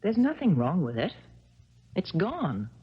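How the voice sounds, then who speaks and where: A woman's voice: lowish voice, huge pitch swings, unhurried, slightly rough, heavily acted. An actress in a 1970s radio drama playing a weary young female tennis champion, dry and defiant. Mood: flat, bleak. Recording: dull old radio broadcast recording.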